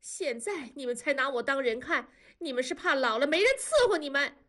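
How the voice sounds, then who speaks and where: A sullen young woman in an audiobook family drama, acted by a female narrator, high in pitch and swinging widely. She is sarcastic and defiant, throwing bitter accusations at her parents. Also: animated, a little fast, bright, forceful tone. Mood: sobbing, accusing. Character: sullen, bitter 21-year-old daughter lashing out at parents.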